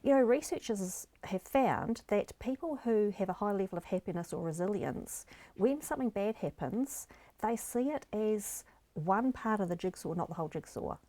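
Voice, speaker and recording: A middle-aged woman with a New Zealand accent explains resilience in an earnest, encouraging way. Her voice sits at an ordinary pitch. The recording is dry and close, with no room sound. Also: animated, moderate pace, mellow, dry recording. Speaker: guest, happiness coach. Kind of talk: interview conversation.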